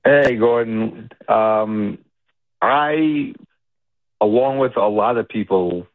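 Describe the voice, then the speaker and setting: A man calling in to a sports radio show, talking in a rambling, deliberate, complaining way. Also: fairly low pitch, no room sound. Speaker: caller. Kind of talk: call-in sports talk. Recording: phone line.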